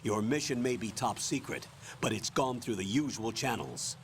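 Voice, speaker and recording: An actor playing a stern military colonel in a video game, giving briefings in an authoritative, measured, matter-of-fact way. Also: male voice, medium pitch, slight background noise, close to an everyday voice. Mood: briefing, firm. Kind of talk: dramatic video game voice acting.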